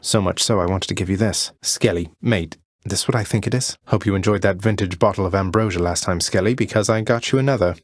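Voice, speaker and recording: A man's voice: low-pitched, fairly quick, few pauses, dry recording. A man playing a young, rebellious underworld prince in a video game, with a British accent and a dry, polite, conversational manner. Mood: dry, amiable. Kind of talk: video game character dialogue.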